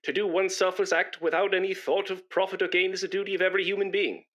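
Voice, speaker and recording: A pompous, self-important man in his thirties in a radio comedy. His voice sits fairly high with a forceful, bright edge. He rambles on proudly and is quick to take offence. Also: level tone. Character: pompous, patriotic, indignant British man of thirty-five.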